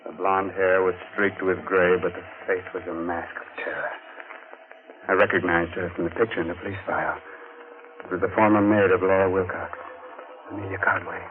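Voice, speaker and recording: An actor playing a shaken witness who tells a murder mystery in grave, suspenseful old radio style. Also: male voice, low-pitched, little pitch movement, music in the background, lightly acted. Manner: grave, suspenseful, deliberate storytelling. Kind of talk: dramatic old radio narration. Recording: noisy, tinny vintage radio recording.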